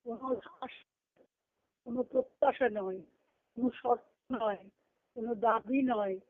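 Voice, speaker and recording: A woman's voice: fairly high pitch, a little slow. A woman giving an earnest devotional talk to a group, repeating phrases for emphasis. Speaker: spiritual discourse speaker. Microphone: phone conference call. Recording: tinny narrowband conference call line.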